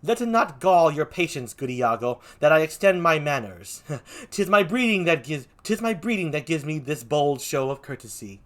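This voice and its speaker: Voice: mid-range voice, sing-song, moderate pace, natural voice. A performer reading a gallant, courteous young officer in a formal, declamatory Shakespearean manner. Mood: courtly. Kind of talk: amateur Shakespeare read-aloud.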